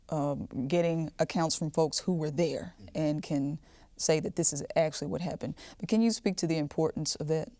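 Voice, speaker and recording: A woman's voice: sits low, warm tone, no echo, studio quality. A woman hosting a podcast, putting questions to guests in a conversational style. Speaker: podcast host, interviewer. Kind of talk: conversational interviewing.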